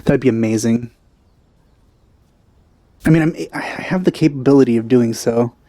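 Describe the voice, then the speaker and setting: Casting: relaxed male let's-player, mellow mid-range voice, wry asides, faint game music behind. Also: medium pitch, normal speed, no echo. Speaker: let's-play commentator. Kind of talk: casual game commentary.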